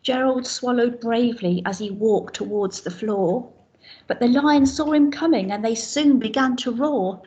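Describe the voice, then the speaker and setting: A woman's voice: fairly high pitch, unhurried, warm tone, lo-fi sound. A woman teacher reading a rhyming picture book aloud to young children, pointing out the pictures as she goes. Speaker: infant school teacher.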